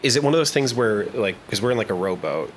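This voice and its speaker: Dude at a d&d table laying out a plan, chill and rambly, faint hiss. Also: male voice, fairly low pitch, lively intonation, fast talker. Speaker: player. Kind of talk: casual tabletop chat.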